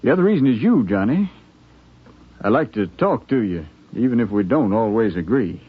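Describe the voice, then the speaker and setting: A husky, coaxing frontier townsman. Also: medium pitch, expressive, normal speed. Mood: coaxing, concerned. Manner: folksy, hesitant, coaxing. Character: friendly, concerned frontier townsman, plain-spoken and persuasive. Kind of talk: 1950s radio drama acting. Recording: thin, phone-like 1950s radio broadcast.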